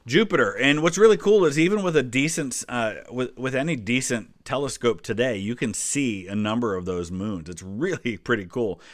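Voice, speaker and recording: A man's voice: medium pitch, normal speed, hardly any pauses, no echo. A middle-aged American man giving casual history commentary, chatty and inclined to explain things with little digressions. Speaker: history video host.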